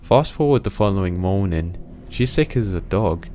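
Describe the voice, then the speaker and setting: Man, very low voice, tense first-person horror narration, faint background noise. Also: lively intonation, normal speed, warm tone.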